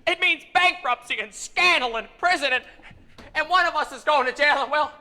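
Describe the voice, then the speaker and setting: A man's voice: very high-pitched, moderate pace, bright, forceful tone, long reverb tail, heavily acted. A young man acting a small-town father at breaking point in a live radio play, stammering and repeating words. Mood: frantic. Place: theater stage with audience. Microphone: vintage stand microphone.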